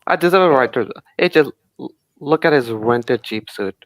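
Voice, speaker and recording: A man's voice: mid-range voice, animated, moderate pace, no echo. A man arguing in a casual panel chat with a confrontational, insistent and insulting manner. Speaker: football panelist.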